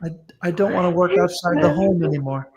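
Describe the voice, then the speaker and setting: A man's voice: sits high, fast talker, darkish tone. A man on a comedy panel, firing off mocking, incredulous quips as live commentary.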